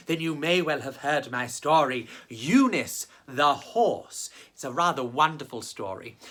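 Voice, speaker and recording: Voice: mid-range voice, bright, forceful tone. A storytime host presenting to children in an effusive, theatrical, sing-song manner.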